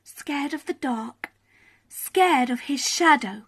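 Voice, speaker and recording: Very slow, high-voiced woman storyteller. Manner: animated, dramatic pauses and emphasis. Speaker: children's storyteller. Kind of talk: expressive bedtime story reading.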